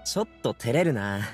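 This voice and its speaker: A man's voice: on the high side, heavily acted. A man voicing a friendly, upbeat young man in an anime-style game, talking in a breezy, boyish, informal way.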